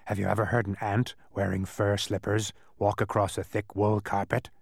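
Storybook eccentric doctor, male, hoarse and croaking, booming and insistent, put on by a man reading aloud. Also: little pitch movement, moderate pace, dry recording, clean studio sound. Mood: raspy, quizzing. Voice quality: raspy, croaking.

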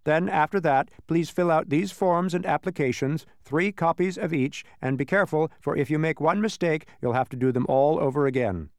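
A man's voice: a little high, normal speed, hardly any pauses, no room sound, clean studio sound, lightly acted. A man voicing an officious, slyly agreeable little census taker for a storybook. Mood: agreeable, pedantic. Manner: very official, chuckling, sneering. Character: little officious census taker, agreeable, sly, pedantic old-world bureaucrat.

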